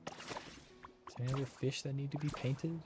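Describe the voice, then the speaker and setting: Very low, deadpan woman game streamer. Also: normal speed. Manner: deadpan joking. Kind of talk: casual gameplay commentary. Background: game music.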